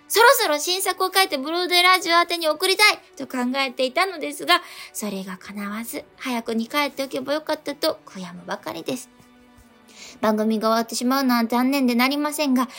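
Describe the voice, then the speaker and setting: High-voiced woman reading radio letters. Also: a bit bright. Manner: polite. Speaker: radio show co-host. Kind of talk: reading listener letters aloud, chatting. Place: radio studio. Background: music playing behind the voice.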